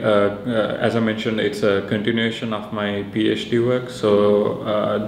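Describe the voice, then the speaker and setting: Low, monotone Sri Lankan man, echoey room. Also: gravelly. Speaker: researcher and maker presenting a project. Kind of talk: informal explanatory interview talk. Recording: echoey room with faint background noise.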